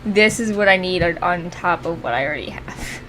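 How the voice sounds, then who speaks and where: A woman's voice: lowish voice, fairly quick, fairly bright tone, slight background noise, low-quality recording. A woman game streamer chatting casually and swearing often as she plays. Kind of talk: casual chat while streaming a video game. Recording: music in the background. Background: music.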